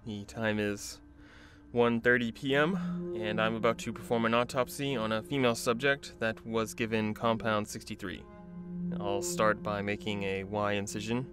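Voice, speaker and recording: A man's voice: fairly low pitch, fairly even intonation, normal speed, music in the background, close to an everyday voice. A man playing a driven, secretive and resourceful research doctor in an audio drama. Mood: clinical, matter-of-fact.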